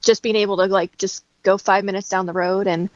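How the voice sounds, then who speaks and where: A woman talking casually as a guest in a relaxed conversation. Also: medium pitch, fairly quick, dry recording, highs cut off. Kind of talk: casual chat.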